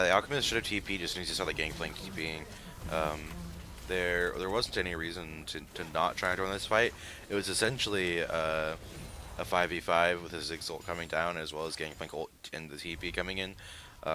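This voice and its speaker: Deep, bright-edged male esports analyst, noisy mic. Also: fairly even intonation, brisk. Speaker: esports color commentator. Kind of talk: casual game analysis. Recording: noisy live-stream mic.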